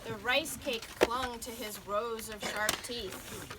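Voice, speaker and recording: Female storyteller for kids' picture book, unhurried and dramatic, higher register, noisy live event. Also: steady tone. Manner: dramatic, stretching out key words. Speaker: author reading aloud to children. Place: bookstore reading event. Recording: noisy live reading with audience sounds.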